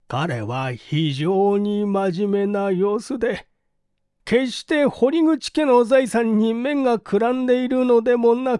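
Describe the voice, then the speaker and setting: A man giving a dramatic literary reading of a mystery story in measured, deliberate phrases with pauses, close to the microphone. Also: sits high, warm tone, no echo. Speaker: audiobook narrator, small-theatre actor.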